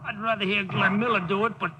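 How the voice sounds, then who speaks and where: An actor playing a wounded, cocky and defiant Brooklyn commando with a New York accent in a 1940s war radio drama. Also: male voice, sits high, steady tone, fast talker, natural voice. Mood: cocky, reckless. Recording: very noisy old 1940s radio recording.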